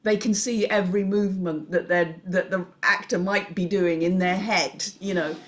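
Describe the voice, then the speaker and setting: A woman's voice: lowish voice, steady tone, moderate pace. A woman hosting a casual script-reading panel discussion, speaking frankly with the odd casual swear word.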